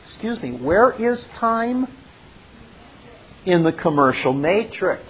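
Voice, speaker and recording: A man presenting a retelling of a film scene with his own commentary, in emphatic, halting, dramatic phrasing. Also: high voice, very animated, breathy edge, highs cut off.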